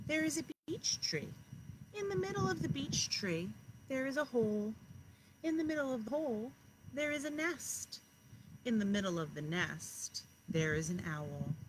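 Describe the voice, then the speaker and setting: A woman kindergarten teacher reading a story aloud outdoors and talking encouragingly to children, often asking them questions. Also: high-pitched. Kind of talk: children's read-aloud with asides to young listeners. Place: outdoors in a backyard. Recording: clear outdoor background noise. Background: outdoor noise.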